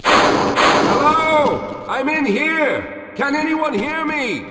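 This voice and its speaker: An actor playing a weary, guilt-ridden scientist in a video game, speaking in a formal, articulate, explanatory way. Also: male voice, very high-pitched, huge pitch swings, drawn-out words, very noisy, heavily acted. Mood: calling for help. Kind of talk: scripted video game character dialogue. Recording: echoey hall with long reverb.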